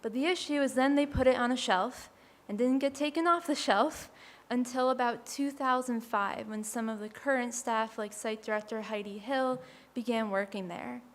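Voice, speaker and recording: A woman with a quiet voice giving a friendly, conversational talk to a live audience as a speaker for a historic site. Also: on the high side, moderate pace. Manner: soft-spoken, friendly and conversational.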